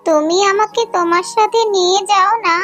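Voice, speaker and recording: A woman voicing a little cartoon fox girl, a coaxing daughter with a drawn-out childlike delivery. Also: extremely high pitch, fairly even intonation, a bit bright, soft background music. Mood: pleading.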